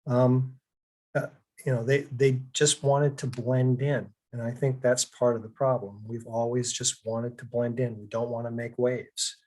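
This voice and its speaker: A man's voice: medium pitch, flat delivery, measured pace, a little mellow. Earnest man speaking on a video call. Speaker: panelist. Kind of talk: panel discussion on a video call.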